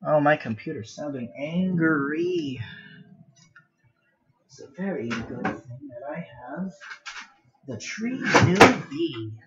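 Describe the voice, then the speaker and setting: A man's voice: on the high side, big pitch swings, slow, long pauses. A young man rambling casually and self-deprecatingly on a livestream while he draws. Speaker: art livestreamer. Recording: muffled home mic with background noise. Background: background noise, laptop cooling fan.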